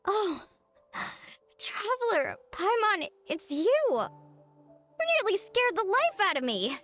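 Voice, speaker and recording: Upbeat sporty young game girl, high voice, swoops around a lot, friendly. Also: very animated, slow pace, fairly bright tone, soft background music, exaggerated voice. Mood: startled, relieved. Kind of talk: video game voice acting.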